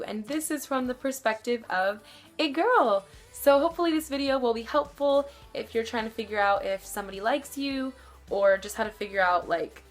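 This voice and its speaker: A young woman with a somewhat deep voice, giving casual advice to her camera and rambling with lots of fillers. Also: a bit bright. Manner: chatty, rambling, many fillers. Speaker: vlogger.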